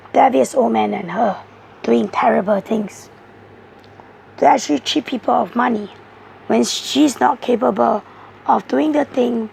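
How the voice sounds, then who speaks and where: A woman with a hoarse voice, giving a rambling, halting monologue as a tarot reader. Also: on the high side, darkish tone, slight background noise, low-quality recording. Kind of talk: casual tarot video monologue.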